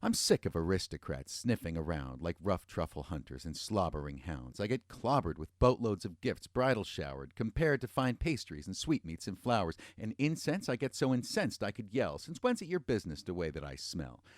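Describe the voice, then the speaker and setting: A man's voice: sits low, sing-song, normal speed, hardly any pauses, no room sound, studio quality. A man voicing a haughty, exasperated young duchess in a storybook tale, ranting in rhymed verse.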